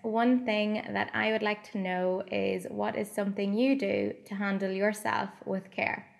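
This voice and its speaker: A woman's voice: medium pitch, little pitch movement, unhurried, gravelly. A woman, hosting a podcast and interviewing her guest with eager, attentive questions. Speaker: podcast host.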